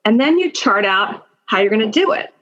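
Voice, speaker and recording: A woman's voice: medium pitch, very animated, a little fast, a bit bright, dull audio. A middle-aged woman giving career advice and telling personal stories as a guest in a conversation, emphatic and encouraging, speaking straight to the listener. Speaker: podcast guest, attorney and mentor.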